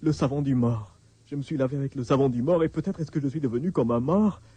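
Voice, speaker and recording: A man's voice: medium pitch, fast, warm tone, husky, no room sound, close to an everyday voice. An actor playing a frightened, desperate hunted man in a radio drama, pleading and stammering in frantic repetitions. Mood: fearful, baffled. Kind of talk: French radio drama acting.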